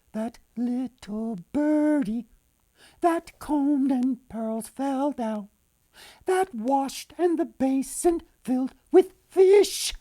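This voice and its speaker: Man doing a high singsong little enchanted bird. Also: mellow, heavily acted. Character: little enchanted bird, plaintive singing girl.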